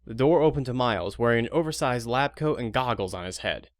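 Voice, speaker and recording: Casting: male narrator for audio drama, medium pitch, informal and wry, close dry sound with no room. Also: animated, brisk, no echo. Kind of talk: conversational scripted narration.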